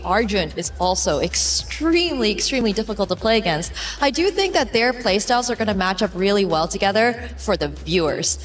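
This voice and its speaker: Female chess analyst, slightly high voice. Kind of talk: conversational broadcast analysis.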